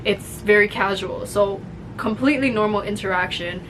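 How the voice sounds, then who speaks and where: A young woman co-hosting a casual chat, telling stories in an animated way and acting out quotes. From Canada. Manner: animated, quick storytelling with acted-out quotes. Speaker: co-host. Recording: noisy recording with low rumble.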